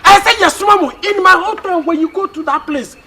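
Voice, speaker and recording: Very loud noise and clicks surround a strikingly high-pitched voice. It is a man acting an indignant Ghanaian elder in a comedy skit, bossing and scolding the household with insistent repetition. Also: brisk. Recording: very noisy, clicking background.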